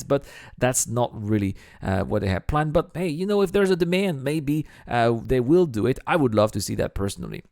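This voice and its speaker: A man's voice: mid-range voice, vocal fry, darkish tone, dry recording. Man, dry close gaming commentary. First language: French. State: sick, voice strained from illness. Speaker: gaming video host. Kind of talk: scripted Q&A commentary over game footage.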